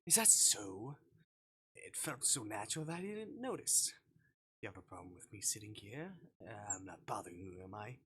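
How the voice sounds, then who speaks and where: A man reading aloud the part of a teasing, brooding young man in a visual novel, in a soft, understated delivery. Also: fairly low pitch, big pitch swings, normal speed, slight background noise, voice pushed down. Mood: dry teasing.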